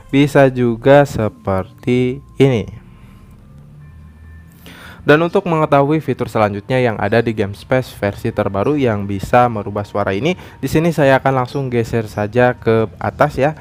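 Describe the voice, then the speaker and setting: A man hosting a phone app tutorial, explaining step by step in repetitive phrasing. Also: mid-range voice. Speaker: tutorial channel host. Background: background music.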